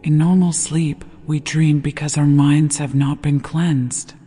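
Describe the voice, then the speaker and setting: A gentle, hushed voice guiding a sleep meditation with slow, deliberate scripted narration. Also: mid-range voice, flat delivery, breathy edge. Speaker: meditation guide. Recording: long reverb over background music. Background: meditation music.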